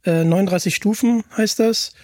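A man's voice: sits high, normal speed, darkish tone, dry recording, clean studio sound. A man hosting a casual fan podcast, chatting in an enthusiastic way. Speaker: podcast host.

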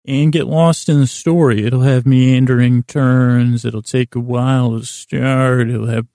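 A man hosting a bedtime podcast in a creaky voice, rambling in a lulling, halting, self-deprecating way. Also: medium pitch, flat delivery, mellow, no echo, clean studio sound. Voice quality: creaky, gravelly. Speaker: podcast host. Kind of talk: rambling, meandering bedtime monologue.

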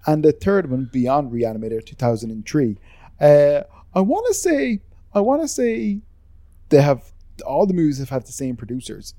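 A man's voice: on the high side, sing-song, normal speed, mellow, dry recording, studio quality. A man with an Irish accent, co-hosting a podcast in casual, rambling chat that is full of swearing and self-deprecating asides. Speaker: podcast co-host.

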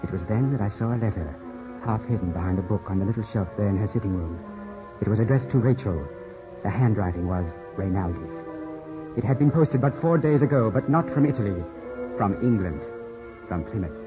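A man performs an anguished young Englishman in love, brooding and suspicious, in the intense manner of 1950s radio drama. The sound is dull and dated, with music clearly behind him. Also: on the low side, brisk, lightly acted. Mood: tense, brooding narration.

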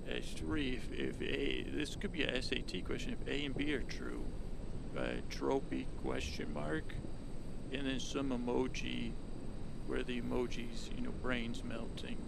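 A man with a creaky, gravelly voice, rambling through an improvised storytelling monologue as a host, halting and trailing off mid-thought. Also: mid-range voice, little pitch movement, very noisy.

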